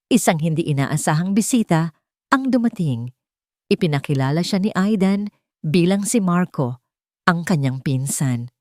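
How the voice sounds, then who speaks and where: A woman's voice: darkish tone, no room sound, studio quality. A woman narrating a romance story in a scripted storytelling style, giving the characters' quoted lines herself. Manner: plays every character herself, shifting pitch for quoted lines.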